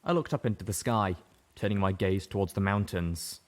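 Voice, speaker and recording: A man reading a story aloud in first person, steady and measured. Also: deep voice. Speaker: audiobook reader. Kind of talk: first-person narration of fan fiction.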